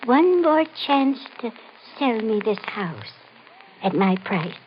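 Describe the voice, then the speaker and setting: An actress in an old-time radio mystery playing a nervous, insistent, ominous woman with a halting, pleading, melodramatic delivery. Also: a little high, very animated. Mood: ominous insistence. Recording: muffled, hissy old radio recording.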